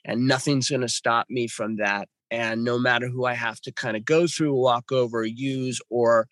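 A man in his fifties from Los Angeles tells his life story in a loose, rambling way, full of fillers and restarts. His voice sits at an ordinary middle pitch and sounds dry and close. He speaks from home over a video call. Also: steady tone, normal speed, a bit bright, no echo. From Los Angeles, California. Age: 50s. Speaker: guest. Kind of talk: podcast interview storytelling. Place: home with birds nearby.

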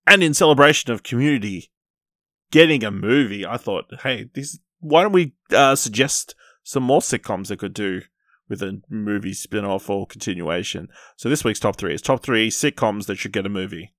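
A man's voice: lowish voice, animated, normal speed, fairly bright tone, no room sound, clean studio sound. A man hosting a podcast in casual, informal chat, steering the discussion along. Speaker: podcast host.

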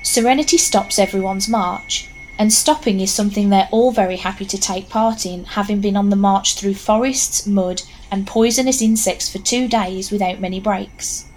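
A woman's voice: mid-range voice, normal speed, few pauses, darkish tone, slight background noise, low-quality recording. A woman narrating a scripted story in a wry, conversational way with knowing asides.

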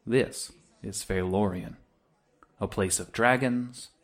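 An actor playing a sarcastic young freedom fighter in a sci-fi audio drama. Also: male voice, lowish voice, takes it slowly. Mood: wry.

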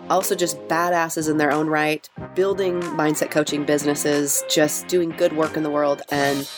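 A woman's voice: low-pitched, level tone, normal speed, over music. A middle-aged woman hosting a podcast interview, talking with casual enthusiasm and a stuffy nose from a cold. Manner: enthusiastic, casual, swears freely. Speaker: podcast host and mindset coach.

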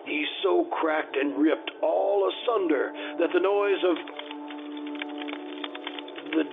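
A man playing an anxious, kindly gentleman who narrates a Gothic horror tale in formal, dramatic old-time radio style. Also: on the high side, vocal fry, soft background music. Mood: dramatic reading. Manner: formal, dramatic, deliberate, with nervous stammers. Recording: noisy, phone-like old-time radio sound.

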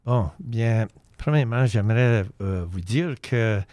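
An older man from British Columbia speaking in a deliberate, proud, drawn-out way in a podcast chat. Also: mid-range voice, lively intonation, a little mellow, dry recording. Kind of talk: casual chat.

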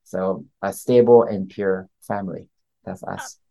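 A young man from Thailand, a winemaker guest on a podcast, speaking softly with halting, repeated words. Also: deep voice, steady tone, creaky. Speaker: winemaker and winery owner.

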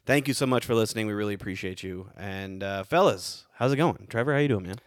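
A man's voice: fairly low pitch, quick pace, no room sound, studio quality. A young man hosting a casual podcast, easygoing and rambling with lots of fillers. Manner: laid-back, rambling with many fillers. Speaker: podcast host.

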